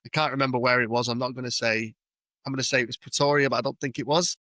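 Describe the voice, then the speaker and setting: A British man hosts a casual interview podcast with a bright, keen-edged voice. He talks in an informal, matey way. The sound is close and dry, with very little room in it. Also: medium pitch, steady tone, quick pace, dry recording. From United Kingdom. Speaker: podcast host.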